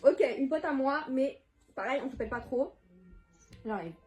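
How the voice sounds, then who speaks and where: A woman's voice: sits high, animated, moderate pace, darkish tone, soft background music. A teenage girl chatting casually and slangily as a video host, exclamatory and easily exasperated. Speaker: video host making prank calls. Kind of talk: casual slangy vlog chat.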